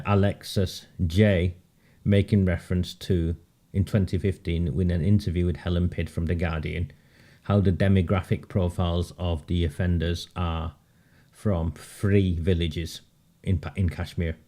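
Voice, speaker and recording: Low, mellow-voiced man ranting sardonically. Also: little pitch movement, measured pace. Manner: sardonic, laughs often, emphatic repetition. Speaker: political commentary host. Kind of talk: casual live-stream monologue.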